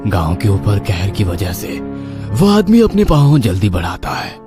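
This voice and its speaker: A man's voice: fairly low pitch, wildly swooping pitch, measured pace. A man narrating a scripted horror story in a dramatic, suspenseful manner. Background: background music.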